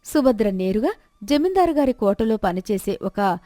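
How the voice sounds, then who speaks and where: A woman's voice: mid-range voice, very animated, normal speed, mellow, dry recording, clean studio sound. A woman storyteller reading a scripted moral tale as narration.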